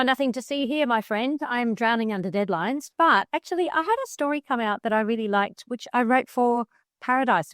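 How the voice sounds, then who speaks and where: Woman, podcast co-host, high-ish voice, lively and playful delivery, dry close mic. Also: no echo. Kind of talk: casual chat.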